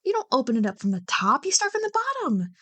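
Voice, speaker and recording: A woman acts out a playful young woman chatting to her oldest friend in an ASMR roleplay. Her voice sits fairly high. She banters and teases with animated mock exasperation and a big love of Halloween. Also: fast, exaggerated voice. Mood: bossy, excited. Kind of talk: ASMR roleplay, one-sided scripted conversation.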